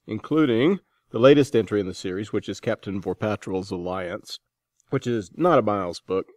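A man's voice: lowish voice, a little mellow, no echo, studio quality. A man hosting a podcast, reading scripted announcements and introductions in an informal, enthusiastic manner. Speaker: podcast host.

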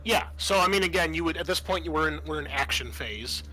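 A man narrating a tabletop roleplaying game as game master, explaining things in a halting, improvised way. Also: fairly high pitch, bright, forceful tone, slight background noise, poor audio.

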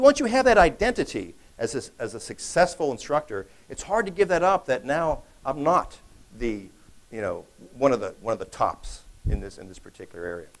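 A middle-aged man giving a keynote talk at a conference, speaking off the cuff with jokes, fillers and restarts, recorded in a large hall while he stands away from the podium. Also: medium pitch. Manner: conversational, joking, many restarts and fillers.

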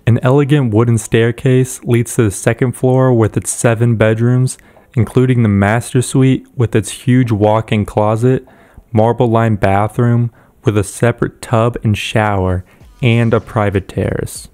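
A man giving a steady, scripted voice-over narration for a celebrity house tour. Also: mid-range voice, unhurried.

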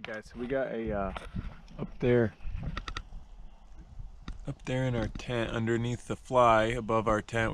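A man in his thirties casually narrating a how-to at a wooded campsite, chatty and relaxed, talking to viewers as guys. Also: fairly low pitch, creaky, a little hiss or hum. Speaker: fishing and camping vlogger. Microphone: wearable action camera.

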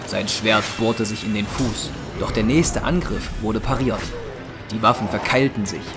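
A man's voice: fairly low pitch, unhurried. A man narrating an audio drama in a scripted, dramatic storytelling style. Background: music.

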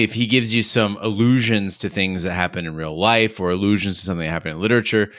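A man's voice: sits low, a bit bright, no echo, studio quality. A man co-hosting a TV review podcast, discussing a series in an analytical way. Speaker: podcast host.

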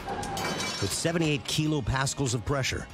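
A man reading scripted narration for a documentary series in a measured, punchy way. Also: medium pitch, huge pitch swings. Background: prominent background music.

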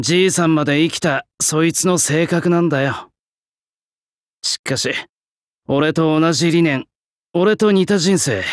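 An actor playing a gruff swordsmith, an old soul in a young man's body, with blunt old-fashioned artisan speech in a game. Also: on the high side, dry recording, studio quality, close to an everyday voice. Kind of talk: Japanese game character voice lines.

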